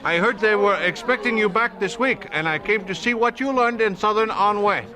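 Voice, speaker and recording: A man playing an earnest, devout Chinese evangelist in a radio drama, speaking in formal, measured phrases. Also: sits high, a crowd in the background, lightly acted. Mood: eager. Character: earnest Chinese male evangelist, devout but candidly fearful. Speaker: voice actor in an audio drama. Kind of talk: radio drama dialogue acting.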